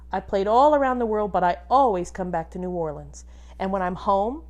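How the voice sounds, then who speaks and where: A woman reading a children's book aloud expressively, like a teacher, pausing to instruct and put questions to young listeners. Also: lowish voice, a little mellow. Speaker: music teacher reading a picture book to pupils.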